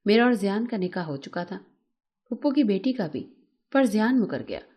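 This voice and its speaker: A woman's voice: mid-range voice, sing-song, normal speed, a little mellow, slightly rough. A woman reading a scripted, emotional first-person story as a narrator, in a dramatic storytelling manner.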